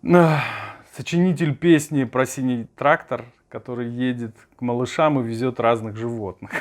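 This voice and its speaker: Middle-aged man talking haltingly, mid-pitched. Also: fairly even intonation. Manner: halting, searching for words. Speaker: songwriter and sound director. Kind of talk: casual interview talk.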